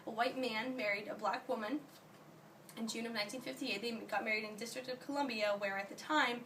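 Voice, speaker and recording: A young woman who is hoarse from being sick gives an earnest class speech, halting often and restarting her sentences. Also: medium pitch, fairly bright tone. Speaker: student giving a speech. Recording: distant mic, faint ambient noise.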